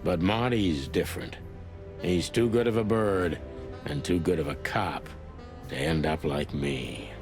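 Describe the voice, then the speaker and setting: Low-voiced weary rooster detective. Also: male voice, a little slow, over music. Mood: brooding. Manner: world-weary, terse, brooding. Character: weary old rooster noir detective, gruff, self-sacrificing. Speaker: narrator and lead detective. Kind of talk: hardboiled film noir voice acting.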